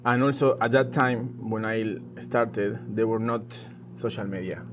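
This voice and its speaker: A middle-aged man with an Argentine accent, a native Spanish speaker, chatting casually and haltingly as a tango dancer being interviewed. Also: fairly low pitch, steady tone, music in the background. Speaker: professional tango dancer and teacher, interview guest. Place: outdoors in a garden. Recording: noisy outdoor ambience.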